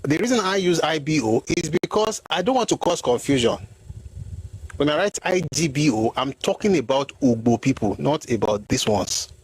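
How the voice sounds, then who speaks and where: A man's voice: a little high, lively intonation, normal speed, slightly rough, low-quality recording. A man giving history commentary, explaining word by word with a mocking edge.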